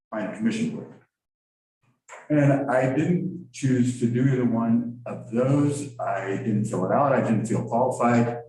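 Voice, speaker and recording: A man's voice: medium pitch, mellow. A man from the public speaks at a town board meeting, hesitant and rambling, with many ums. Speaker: resident giving public comment. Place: council meeting room. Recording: very echoey hall, distant mic.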